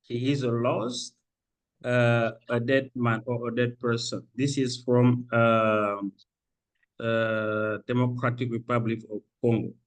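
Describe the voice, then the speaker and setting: A man from Senegal presenting an academic paper at a conference, speaking haltingly with many fillers and restarts. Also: mid-range voice, fairly even intonation, poor audio. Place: conference room.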